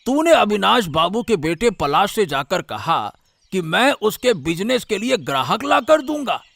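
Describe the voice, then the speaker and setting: An irritable senior villager played by a man in a cartoon story, always scolding. The voice is high and gravelly, with big swings in pitch. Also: sing-song, measured pace, a bit bright, no room sound, exaggerated voice. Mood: exasperated.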